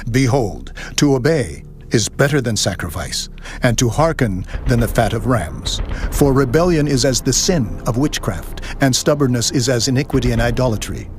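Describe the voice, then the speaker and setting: An actor playing a stern, unyielding old Hebrew prophet in dramatized scripture audio, speaking in a solemn, measured declamation. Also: male voice, medium pitch, animated, soft background music, close to an everyday voice. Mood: stern rebuke.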